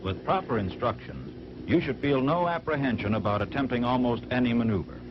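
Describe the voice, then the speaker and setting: A man playing a reassuring, authoritative flight instructor in a 1940s training film, speaking deliberately on an old soundtrack. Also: mid-range voice, lively intonation, lightly acted. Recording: muffled, noisy vintage film soundtrack.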